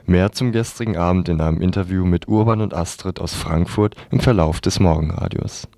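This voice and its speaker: A man reads prepared radio reports as a presenter. His voice is very low and dark, and it is captured dry and close, with little room sound. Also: brisk, gravelly, no echo.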